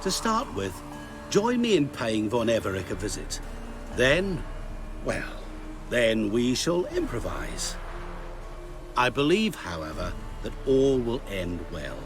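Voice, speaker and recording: An actor playing a courteous, cunning and mysterious dealmaker in a video game, speaking in eloquent, theatrical, formal phrases with deliberate pauses. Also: male voice, medium pitch, sing-song, music in the background. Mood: silky persuasion.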